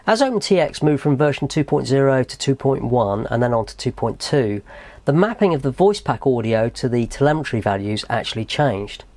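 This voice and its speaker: A man's voice: medium pitch, sing-song, a little fast, a little mellow, no echo. A man presenting a how-to tutorial in a methodical, explanatory way. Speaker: host of a tutorial channel.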